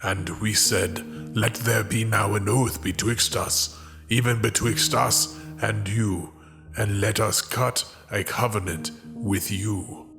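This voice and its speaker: An actor playing solemn men of scripture in a dramatized audio reading, with a measured, formal delivery. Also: male voice, fairly low pitch, steady tone, gravelly. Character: solemn men of scripture: God, envoys, dutiful sons. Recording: music under the voice.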